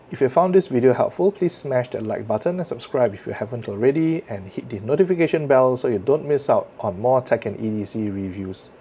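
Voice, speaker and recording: A man with a Singaporean accent presenting a scripted tech product review to viewers in a measured, articulate way. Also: mid-range voice, mellow, slight background noise.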